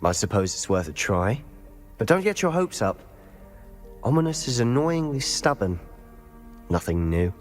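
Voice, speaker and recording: An actor playing a determined young wizard student who speaks in a wry way. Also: male voice, medium pitch, very animated, measured pace, long pauses, soft background music. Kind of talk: video game voice acting.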